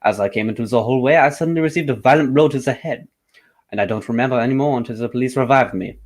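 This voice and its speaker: A man's voice: mid-range voice, fast talker, lightly acted. A man playing a wealthy duke giving testimony in an amateur radio mystery play. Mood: explaining. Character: French duke, wealthy lover recounting his evening.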